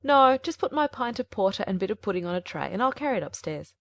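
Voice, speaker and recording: Woman doing a cool demure old servant lady, quick talker, bouncy pitch, dry sound. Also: very animated, a bit bright, dry recording. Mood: composed. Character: composed, secretive older female servant. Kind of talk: audiobook character voice.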